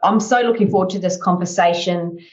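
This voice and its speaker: Woman hosting a podcast, lower voice. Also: fairly even intonation, fairly quick, lo-fi sound, over loud music. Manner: upbeat, warm interviewer who summarises answers. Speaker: podcast host.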